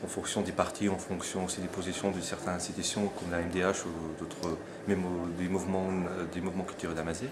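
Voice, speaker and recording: A man's voice: low-pitched, little pitch movement, quick pace, hardly any pauses. A man in his forties from Morocco, giving his view in an interview, hesitating and restarting his phrases while insisting on finishing his point. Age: 40s. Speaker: interviewee, conference attendee. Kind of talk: spontaneous debate interview. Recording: crowd noise behind.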